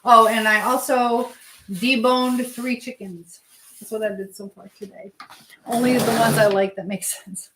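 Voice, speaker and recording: A woman's voice: fairly high pitch, fairly even intonation, measured pace, fairly bright tone. A woman hosting a casual live stream while busy in the kitchen, chattily talking to herself and to her viewers and reading out comments. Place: indoors in a kitchen. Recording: echoey room with clear background noise.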